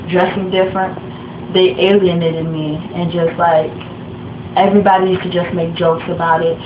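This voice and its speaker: A young woman from Africa, whose first language is Swahili, tells her own story in a low, flat voice. She pauses often and fills the gaps. The recording sounds echoey and dull, with clear noise behind her. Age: young adult. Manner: soft-spoken, halting, many fillers. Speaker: interviewee. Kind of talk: personal testimony. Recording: echoey room, dull lo-fi sound, noisy background.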